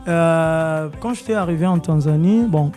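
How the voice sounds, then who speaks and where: Man, dark tone, music under. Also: fairly high pitch, a little slow. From Congo. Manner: mixes French with Swahili, hesitant with many fillers. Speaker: musician guest. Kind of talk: radio interview. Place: radio studio.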